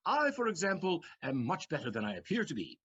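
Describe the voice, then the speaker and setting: A cheeky barber servant in a comic audio play, sly and arch. The voice is high and bounces around expressively, on a slightly dull recording. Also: male voice, wildly swooping pitch, brisk, a bit bright, husky, full character voice. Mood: sly, defiant. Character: witty, impudent male servant barber in period comedy. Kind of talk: stage comedy audio play acting.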